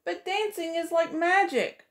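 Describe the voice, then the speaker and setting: A woman's voice: very high-pitched, a little slow, fairly bright tone. A middle-aged woman, a preschool teacher, reading a picture book aloud to children in a sing-song way, voicing each character, recorded close up in a small room.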